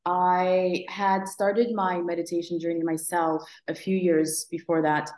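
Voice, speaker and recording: A woman in her thirties, originally from Morocco, speaks as an interview guest with a low, even-paced voice. She talks in a reflective way, stopping for fillers and restarts. Her voice carries a noticeable room echo. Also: unhurried. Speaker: guest, app founder. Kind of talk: conversational interview.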